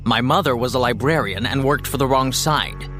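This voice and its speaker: A man's voice: mid-range voice, moderate pace, pushed and bright, soft background music, natural voice. An actor playing a wry, self-mocking young hero who narrates his own adventure in an audio drama, confiding. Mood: reflective. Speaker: first-person narrator.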